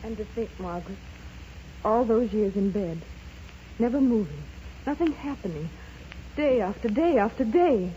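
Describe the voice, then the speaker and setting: An actress playing a wistful, grieving, soft-hearted woman in a 1930s radio drama, speaking haltingly and sorrowfully. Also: medium pitch, expressive, close to an everyday voice. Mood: wistful, pitying. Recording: dull, noisy 1930s radio recording.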